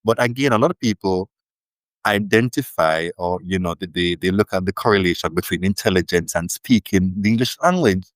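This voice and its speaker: A man's voice: low-pitched, a little fast, no room sound, rough recording. A Jamaican man, a linguist guest, telling stories in a thoughtful, emphatic way in a casual interview. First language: Jamaican Creole.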